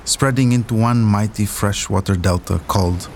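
A man narrating a scripted documentary podcast, telling the story dramatically with deliberate pauses. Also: on the low side, slight background noise.

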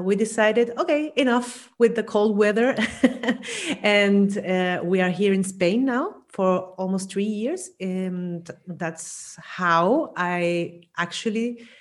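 A woman in her forties from Chile, a psychologist guest talking chattily with frequent laughs and restarts. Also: mid-range voice. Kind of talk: conversational interview.

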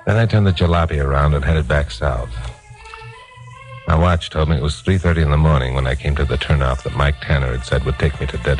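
An actor playing a brash, wisecracking American crime reporter in a breezy 1950s radio drama style. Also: male voice, rumbling low, low-quality recording. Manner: breezy, hard-boiled.

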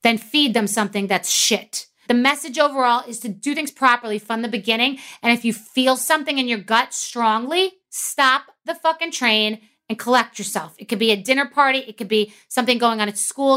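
A woman's voice: fairly high pitch, sharp tone. A woman telling a story in a blunt, casual way and swearing freely.

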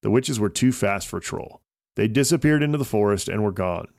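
A man's voice: lowish voice, lively intonation, brisk, gravelly, a little mellow, dry recording, clean studio sound. A man narrating a scripted children's story as a podcast host.

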